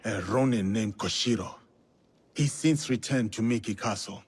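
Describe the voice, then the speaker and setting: An actor playing a stern, principled samurai warrior in a video game, speaking in a measured, firm, husky voice. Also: male voice, fairly low pitch. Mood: resolute, calm. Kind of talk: dramatic video game acting.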